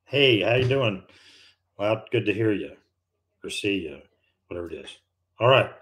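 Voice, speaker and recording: A man's voice: on the low side, expressive, moderate pace, fairly bright tone. An older American man hosting a live stream, chatting casually and rambling with many fillers and restarts. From United States. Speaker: livestream host, retired pilot.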